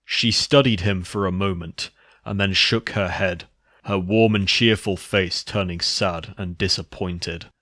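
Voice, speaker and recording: A man narrates a dark horror tale as a scripted reading. His voice sits fairly low, and the sound is dry with no room around it. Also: steady tone, unhurried, no room sound.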